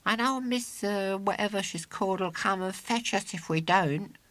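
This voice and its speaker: A man's voice: high voice, moderate pace, fairly bright tone, no room sound, voice pushed up. A man voicing a small, gloomy, plaintive boy who follows his friend, in a children's audiobook.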